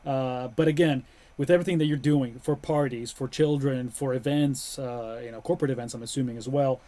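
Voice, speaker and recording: A man's voice: mid-range voice, fairly even intonation, a little fast, mellow. Rambling webcast host man. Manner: rambling, many restarts and fillers. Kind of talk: casual webcast chat.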